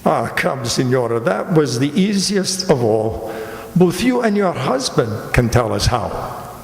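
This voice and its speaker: A man's voice: medium pitch, big pitch swings, gravelly. Man acting a theatrical police commander, echoey hall. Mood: courtly explaining. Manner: courtly, deliberate, theatrical. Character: clever, theatrical Italian police commander, courtly and sly. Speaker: amateur radio player. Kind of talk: stage radio mystery acting. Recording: echoey hall, live stage performance.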